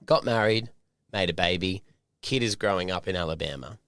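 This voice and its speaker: A middle-aged man co-hosting a podcast, chatting casually and teasing with quick storytelling. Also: sits low, a bit bright, no echo, clean studio sound. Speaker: podcast co-host. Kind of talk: casual chat.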